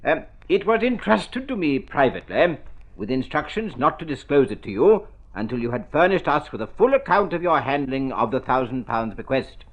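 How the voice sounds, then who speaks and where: An actor playing a fussy, formal lawyer in an old radio drama, speaking precisely with proper, hesitant phrasing. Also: male voice, mid-range voice, sing-song, normal speed. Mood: formal, measured. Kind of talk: golden-age radio drama acting. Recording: muffled vintage radio recording.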